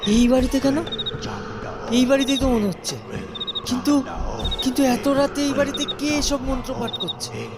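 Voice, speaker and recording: A man's voice: extremely high pitch, fairly even intonation, moderate pace, long pauses, a loud crowd. A man playing a frightened boy in a horror audio drama, fearful and hesitant, repeating his words. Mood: bewildered, uneasy. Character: frightened boy Apu, trapped in haunted house.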